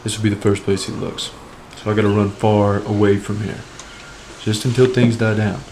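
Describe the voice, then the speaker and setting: A low, gravelly male voice with a flat delivery, an actor as a desperate drug dealer on the run. He narrates his inner thoughts like a brooding crime drama, deliberate and streetwise, over clear background noise. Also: lightly acted. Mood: tense, calculating.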